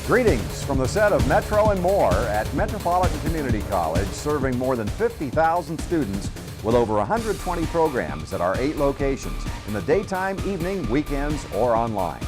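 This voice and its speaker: A man's voice: mid-range voice, sing-song, moderate pace, hardly any pauses, over music. A man hosting a television interview program, with a polished announcer's delivery as he introduces and questions a guest. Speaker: host.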